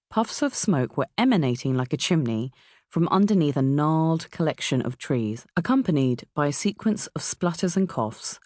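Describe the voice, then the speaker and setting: A middle-aged woman from England with a southern English accent reads a memoir as its author, in a measured, expressive way. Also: sits low, dry recording, clean studio sound. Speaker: author narrating own audiobook. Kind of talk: scripted memoir narration. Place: dry recording studio.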